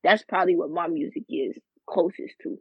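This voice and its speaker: A young man in his early twenties talks loosely as a rapper guest. His voice is high for a man and husky, and it comes through a dry, dull, lo-fi recording. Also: steady tone, brisk, dry recording. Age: 20s. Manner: laid-back, slangy, laughs easily. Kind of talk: casual interview chat.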